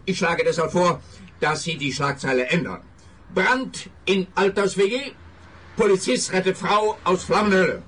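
A man's voice: high-pitched, unhurried, a bit bright, breathy edge, exaggerated voice. An actor playing a vain, officious policeman in a comic radio play, speaking with pompous emphasis. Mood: self-important. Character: pompous, vain male policeman keen on headlines.

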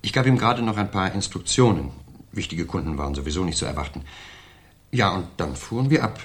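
A suave businessman under questioning in a 1970s radio crime play. His deep voice rises and drops expressively. He is courteous and self-important, with a nervous, over-explaining manner. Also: expressive, brisk. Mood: careful, courteous. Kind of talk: 1970s German radio crime drama acting.

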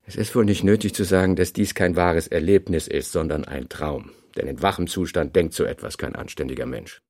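An actor playing an analytical author reading out notes in a literary radio play, in emphatic, clipped phrases. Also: male voice, low voice, steady tone, brisk, darkish tone. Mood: disapproving.

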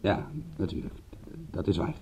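A man's voice: moderate pace, dark tone, slight background noise, close to an everyday voice. A man playing an indignant, glib British private detective in a radio drama, argumentative and wordy, stumbling into his sentences. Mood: defensive. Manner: argumentative, stammering starts, wordy formal retorts.

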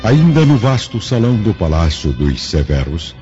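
Deep, solemn male radio drama narrator. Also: sing-song, normal speed, warm tone. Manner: solemn, theatrical. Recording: dull lo-fi audio with music.

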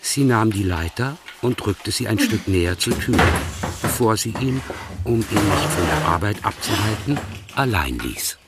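An actor playing the narrator of a radio play, reading literary prose in a measured, precise way. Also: male voice, on the low side, animated, very noisy.

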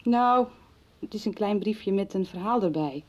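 A woman's voice: medium pitch, expressive, unhurried, a little mellow. An actress playing a kind, chatty, motherly woman in a radio drama. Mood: friendly, chatty. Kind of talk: 1990s radio drama acting.

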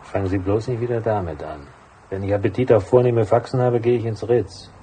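An actor playing a tough, cynical private detective in a noir radio drama, speaking in a laconic, unsentimental manner. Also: male voice, lowish voice, fairly quick, dark tone, a little hiss or hum, lightly acted, low-quality recording. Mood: curt, impatient. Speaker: narrator and lead character.

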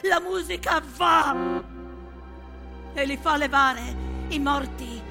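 An actress performing as an impassioned storyteller of war horrors, declaiming a theatrical monologue in an intense, incantatory way. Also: female voice, sits high, takes it slowly, gravelly, full character voice. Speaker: stage actress and narrator. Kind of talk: theatrical dramatic monologue set to music. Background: music.

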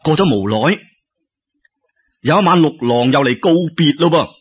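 A man's voice: a little high, animated, moderate pace, breathy edge. A man with a Cantonese accent narrating a folk tale in colloquial oral storytelling style. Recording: dull lo-fi radio-style recording.

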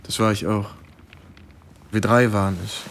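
Sober ex-soldier recalling war. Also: male voice, lowish voice, level tone, unhurried, a bit bright, slight background noise. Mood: sober, haunted. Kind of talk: first-person radio drama narration. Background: music.

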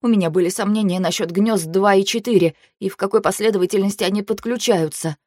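A woman voicing a timid, apologetic young man in an audiobook, quiet, hesitant and bleating. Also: mid-range voice, fast, no echo, studio quality, lightly acted. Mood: nervous, guilty.

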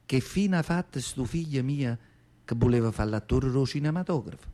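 A man's voice: medium pitch, expressive, moderate pace, dark tone, natural voice. A man playing an elderly, devout mother from Southern Italy in a radio monologue, chatty and nostalgic, with a Neapolitan accent and a confiding, rambling way of talking. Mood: plaintive. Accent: southern Italian, Neapolitan. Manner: confiding, rambling, rhetorical asides to listeners. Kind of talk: theatrical radio monologue storytelling.

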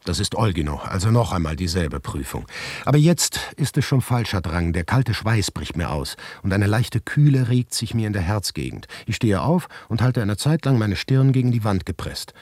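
An actor playing an anguished, paranoid writer who narrates his grotesque misadventure in a measured, inward radio monologue, with a husky low voice that slips into whispers. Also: sing-song, darkish tone, dry recording. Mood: strained, pained. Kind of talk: literary radio monologue, first-person narration.

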